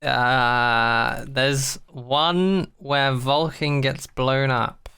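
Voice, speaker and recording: Jokey rambling guy on a podcast, bright clear voice, swears a bit, casual banter. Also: medium pitch, fairly even intonation, very slow. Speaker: podcast co-host. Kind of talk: casual comic banter about a sci-fi show.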